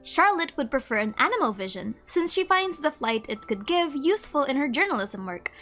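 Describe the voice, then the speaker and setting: A woman's voice: high-pitched, very animated, a little fast, a bit bright, soft background music. A woman hosting a video with casual scripted narration. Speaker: video creator, host.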